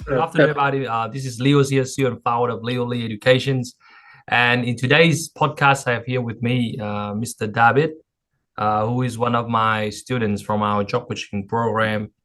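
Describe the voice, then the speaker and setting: A man's voice: mid-range voice, fairly even intonation, normal speed, fairly bright tone, faint music behind. A man hosting an interview podcast as a job coach, introducing his guest and asking questions. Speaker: host, job coach and company founder.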